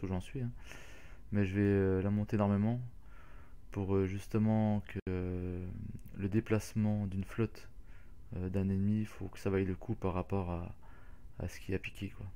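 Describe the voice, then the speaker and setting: A man's voice: low-pitched, dark tone, clear, resonant tone, lo-fi sound. A man hosting a casual game walkthrough, talking hesitantly with many fillers and restarts. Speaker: gaming channel host.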